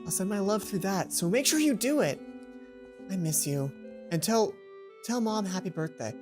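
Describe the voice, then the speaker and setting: A woman's voice: mid-range voice, expressive, moderate pace, over music, close to an everyday voice. A woman voicing an earnest, emotional, sweet schoolgirl heroine in a visual novel, in a heartfelt way. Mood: earnest, loving.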